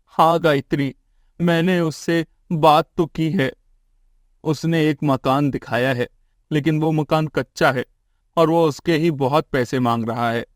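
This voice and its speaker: A man's voice: a little high, fairly even intonation, a little slow, no room sound, studio quality, pitched above the performer's own voice, lightly acted. A man voicing a poor, troubled family man, earnest and plaintive, in a cartoon moral story. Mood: worried, resigned. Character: poor, distressed, pleading young family man.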